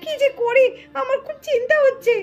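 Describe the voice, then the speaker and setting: An anxious young mother doll from a puppet cartoon. Her voice is extremely high and tearful, pleading over and over in melodramatic fashion. Music plays behind her. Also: fairly even intonation, fairly quick. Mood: tearful, panicked. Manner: wailing, pleading, repetitive.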